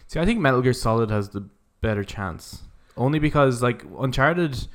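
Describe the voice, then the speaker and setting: A man co-hosting a podcast, chatting casually and haltingly as he thinks aloud. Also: medium pitch, expressive. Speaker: podcast co-host. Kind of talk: casual conversational discussion.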